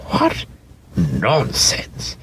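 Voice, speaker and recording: A man's voice: low voice, wildly swooping pitch, drawn-out words, noisy background, full character voice. A man voicing a brusque, dismissive doctor in a storybook reading, speaking in a curt, commanding way. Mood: scornful, brusque. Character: brusque, dismissive doctor ordering toys burned.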